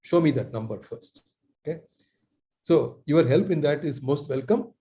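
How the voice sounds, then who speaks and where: An earnest man from India speaks on a video call, his voice warm and mellow and a little high. He leads the meeting as lecturer and pauses often with fillers. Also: lively intonation. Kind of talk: online meeting talk.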